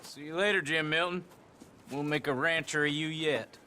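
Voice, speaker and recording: Casting: forthright ranch foreman, Western game, bright edge, highish voice, folksy, faint noise behind. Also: steady tone, normal speed. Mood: brisk, friendly. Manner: folksy, probing. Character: forthright, skeptical ranch foreman, wryly friendly. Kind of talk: naturalistic Western game acting.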